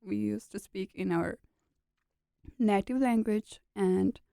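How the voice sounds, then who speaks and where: A woman's voice: medium pitch, takes it slowly, gravelly, mellow, no room sound, studio quality. A young woman in her twenties from Pakistan with a Pakistani accent, whose first language is a regional tongue, answering interview questions earnestly in short plain sentences and laughing often. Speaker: graduate student guest. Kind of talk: casual interview chat.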